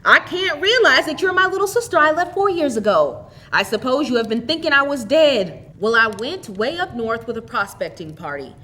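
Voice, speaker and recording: A woman's voice: sits high, expressive, moderate pace, few pauses, pushed and bright, heavily acted. A woman voicing a hearty, eager older brother come home rich in a storybook tale. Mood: hearty, reassuring. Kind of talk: dramatic storybook reading.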